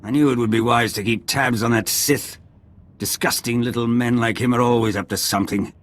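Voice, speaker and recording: An actor playing a proud, indignant duke in a video game, haughty and emphatic. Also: male voice, mid-range voice, steady tone, brisk, fairly bright tone, close to an everyday voice. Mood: defiant. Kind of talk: dramatic video game acting.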